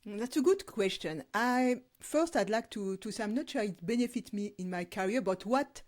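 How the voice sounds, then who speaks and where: A woman speaking as an interview guest, measured and reflective. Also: medium pitch, lively intonation. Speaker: guest, co-author. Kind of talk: casual interview talk.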